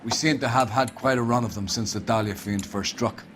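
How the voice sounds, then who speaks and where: Actor as hearty, avuncular police captain. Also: male voice, medium pitch, fairly even intonation, a little fast, a bit bright, slight background noise, natural voice. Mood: genial authority. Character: hearty, folksy older male police captain. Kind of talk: video game cutscene acting.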